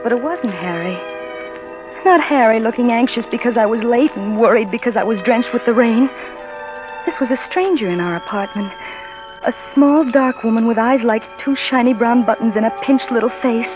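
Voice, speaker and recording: An actress playing a bewildered, frightened young wife who narrates in a stammering, breathless way, in a 1940s radio drama. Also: female voice, on the high side, moderate pace, close to an everyday voice, low-quality recording. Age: young adult. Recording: old radio broadcast with music.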